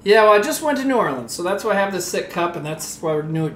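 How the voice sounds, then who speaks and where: A man in his early forties with a fairly high voice rambles through a casual story, laughing and swearing as he goes. He sounds a little tipsy from rum. The room around him is echoey with faint noise behind. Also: expressive, fast. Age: 40s. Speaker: comedian streaming while cooking.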